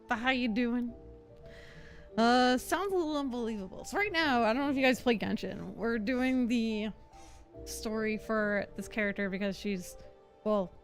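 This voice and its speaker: Woman, high voice, unhurried, giggly, casual gaming stream chat, music faintly behind. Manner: giggly, bubbly, laughs often. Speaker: livestreamer host. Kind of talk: casual chat with live viewers while gaming.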